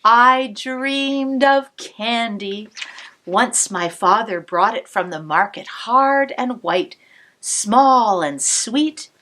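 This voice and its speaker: Slow, animated woman reading to children. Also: on the high side. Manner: warm, expressive storytelling with asides to the listener. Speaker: cantor. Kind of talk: reading a picture book aloud to children.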